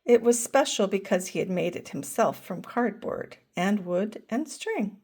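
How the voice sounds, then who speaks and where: Slow, gentle older woman reading aloud. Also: mid-range voice, animated, slightly rough. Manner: gentle, asks listeners questions. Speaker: storyteller grandmother. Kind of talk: reading a picture book aloud to children.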